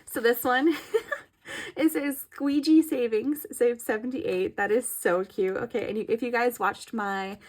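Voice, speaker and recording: A woman's voice: a little high, measured pace. A young woman chatting casually as a vlogger, giggly and gushing, her throat a little scratchy from allergies. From California. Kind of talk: casual chat.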